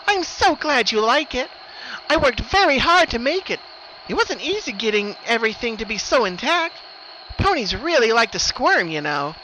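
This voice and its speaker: High, bright, gleefully sadistic pony. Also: female voice, big pitch swings, moderate pace, slight background noise, low-quality recording. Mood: gleefully proud. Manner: sing-song happy, playful menace. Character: cheerful, sadistic twisted killer pony, female. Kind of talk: dramatic fan fiction reading.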